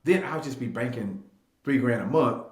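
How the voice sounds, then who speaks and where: An echoey room carries the voice of a thirty-year-old man with a middle-range pitch. He tells personal stories in a casual interview style. He leans on repeated phrases for emphasis and slips into quoted dialogue. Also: animated, normal speed. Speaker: real estate agent and investor being interviewed. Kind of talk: animated personal storytelling in casual talk.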